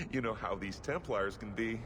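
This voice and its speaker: A man's voice: on the low side, moderate pace, background noise, natural voice. An actor playing a nervous, timid male dwarf merchant and smuggler in a fantasy video game, flustered, polite and evasive. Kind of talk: video game cutscene acting.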